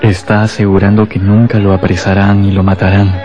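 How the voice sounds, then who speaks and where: Actor as low-voiced, incredulous male questioner. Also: flat delivery, brisk, highs cut off, a faint crowd, natural voice. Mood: probing. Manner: inquisitive, incredulous. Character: incredulous, probing male comrade questioning a fanatic. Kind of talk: radio drama acting. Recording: loud music behind the voice.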